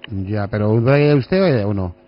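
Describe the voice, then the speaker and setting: A man with a Castilian Spanish accent improvising a prank phone call as a radio caller, talking in deliberately muddled, garbled double-talk. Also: on the low side, animated, soft background music.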